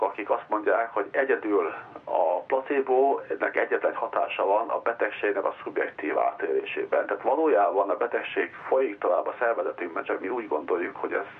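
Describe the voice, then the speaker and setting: Husky man on a thin phone line. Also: lowish voice. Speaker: interviewed science expert. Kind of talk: explanatory radio interview answers. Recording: muffled, phone-like radio line.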